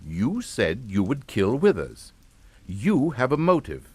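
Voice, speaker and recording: A middle-aged father rules a suspense radio drama with cold authority. He is clipped and matter-of-fact even when threatening, with a mid-pitched voice that rises and falls widely, recorded very dry. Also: slow, breathy edge, dry recording, exaggerated voice. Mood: calculating. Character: coldly commanding English father, calm, menacing, middle-aged patriarch.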